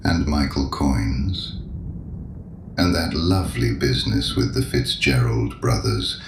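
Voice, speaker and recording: A man in an audio drama playing a sinister, ancient, knowing penitent, courteous and sardonic, with a very deep gravelly voice. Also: fairly even intonation. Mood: mocking, gossiping. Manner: deliberate, probing, sardonic, urbane. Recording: echoey room with audible background noise.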